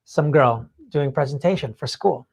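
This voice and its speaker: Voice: medium pitch, moderate pace, creaky, darkish tone, breathy edge. Blunt talk show co-host chatting casually. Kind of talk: casual opinionated chat.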